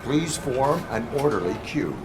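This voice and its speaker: An actor playing a stern cyborg policeman in a video game, speaking in flat, formal, clipped sentences. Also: medium pitch, unhurried, music in the background, low-quality recording. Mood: stern, impassive. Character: cyborg policeman, stern, emotionless, by-the-book desk officer.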